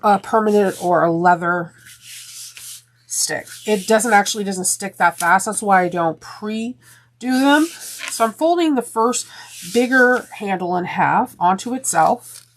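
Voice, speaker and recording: A woman walks through a sewing project in a chatty, rambling way, often stopping on ums and restarts. Her voice sounds a little deeper and stuffy from a sinus infection. A faint hiss of noise sits under the recording. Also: unhurried. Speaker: sewing tutorial host. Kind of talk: step-by-step sewing tutorial.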